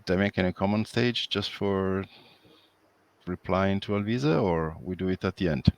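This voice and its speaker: Guy hosting a webinar on a video call, deep voice, dry sound, kinda halting. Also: no echo. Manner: hesitant, halting, many fillers. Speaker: webinar moderator.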